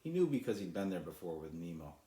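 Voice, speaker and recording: A man's voice: sits low, very animated, fast, mellow, echoey room. A man who is an author, reading and introducing his own children's story as a storyteller. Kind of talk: storybook narration.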